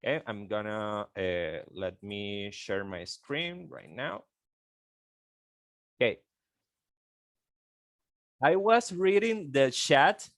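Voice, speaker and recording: A man in his thirties from Venezuela with a Venezuelan Spanish accent, a native Spanish speaker presenting on an online call, halting and tagging "okay?" often. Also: mid-range voice, no room sound, clean studio sound. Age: 30s. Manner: halting, repeats words, frequent "okay?" tags.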